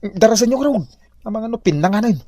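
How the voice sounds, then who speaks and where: A man's voice: high voice, lively intonation, brisk, dark tone, dry recording. A man playing a scheming, gluttonous village husband in an Ilocano comedy drama, blustering and evasive. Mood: greedy urgency.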